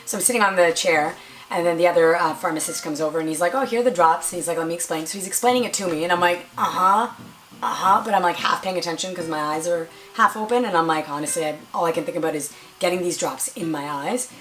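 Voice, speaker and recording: A young woman with a raspy voice, chatting casually to camera as a vlogger and filling her stories with "like". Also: on the low side, quick pace, a bit bright, slight background noise, poor audio. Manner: chatty, lots of "like" and quoted dialogue.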